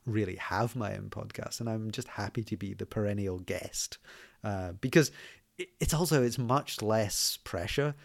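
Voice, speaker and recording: A man's voice: on the low side, creaky. A man with a light accent mixing Scottish and southern English, chatting as a podcast guest and often restarting his sentences with fillers. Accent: mixed Scottish and southern English. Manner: hesitant, many restarts and fillers. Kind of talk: casual podcast conversation.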